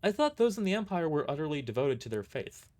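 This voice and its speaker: An incredulous mercenary from a sci-fi audio drama, acted by a man, pitched up from a normal male register. He questions everything bluntly, sounding startled and skeptical. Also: big pitch swings, fast, a little mellow.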